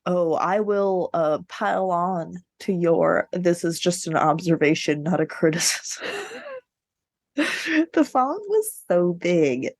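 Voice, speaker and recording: A woman's voice: fairly low pitch, very animated, slow, a little mellow, no echo, studio quality. A woman co-hosting a podcast, chatting casually about books in a playful, emphatic way. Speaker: podcast co-host discussing books. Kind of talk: casual book chat.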